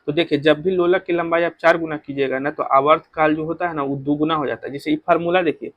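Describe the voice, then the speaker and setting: Bihari-accented male coaching teacher, higher-pitched voice. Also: steady tone, fast, lo-fi sound. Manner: repetitive, instructive, code-mixing English technical terms. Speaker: exam coaching physics teacher. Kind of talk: explanatory exam-prep lecture.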